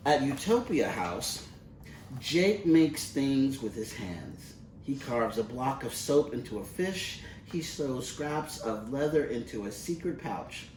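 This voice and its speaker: Slow-reading man in a roomy space. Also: mid-range voice, sing-song, darkish tone. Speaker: picture book reader. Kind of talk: expressive read-aloud of a children's storybook. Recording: roomy, distant mic with faint noise.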